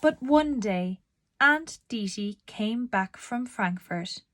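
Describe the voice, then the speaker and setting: A woman narrating a children's storybook aloud in a deliberate, expressive storytelling manner. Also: on the high side, no echo.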